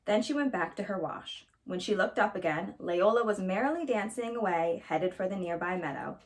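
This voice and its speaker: A woman reading a children's storybook aloud as a storyteller, in an expressive narrating style. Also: medium pitch, moderate pace, poor audio.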